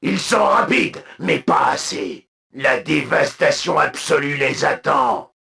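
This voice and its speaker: An actor voicing a proud, zealous alien military commander for a video game, with a gravelly voice and solemn, imperious, clipped delivery. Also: male voice, a little high, takes it slowly, pushed and bright, heavily acted. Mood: defiant, aggressive. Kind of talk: video game unit voice lines, declamatory.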